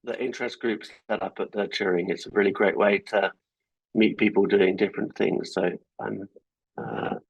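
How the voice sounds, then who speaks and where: Male guest lecturer, informal and self-deprecating, slightly husky voice, dry close sound. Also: fairly low pitch, steady tone, moderate pace, dry recording, rough recording. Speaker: guest speaker. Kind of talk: academic talk on a video call. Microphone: computer mic on a video call.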